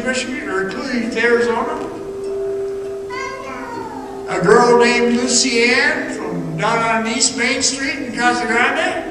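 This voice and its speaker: An actor playing a sinister, slyly knowing storyteller in a radio play, speaking with dramatic, ominous pauses. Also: high-pitched. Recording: echoey stage hall, distant mic, music.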